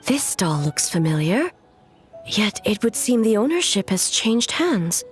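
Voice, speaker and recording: A woman voicing an ageless, reserved female ruler in a video game, speaking in a formal, measured, stately way. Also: mid-range voice, big pitch swings, soft background music. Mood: solemn.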